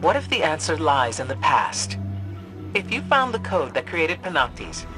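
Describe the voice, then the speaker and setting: An actress playing a grave, authoritative female warlock mentor in a video game, speaking in a measured way. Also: low-pitched, big pitch swings. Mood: grave, resolute. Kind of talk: scripted video game voice acting. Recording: music playing behind the voice.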